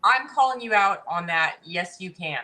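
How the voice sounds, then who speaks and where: A woman in her forties speaks in a bright, pushing tone. She is blunt and emphatic, and she hammers her points with repetition in a casual interview. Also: fairly low pitch, lively intonation, moderate pace. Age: 40s. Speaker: interview guest.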